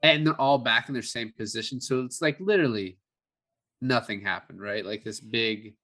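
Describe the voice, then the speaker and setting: A man's voice: mid-range voice, moderate pace, sharp tone. A man co-hosting a movie review podcast, chatting casually about films. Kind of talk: casual film discussion.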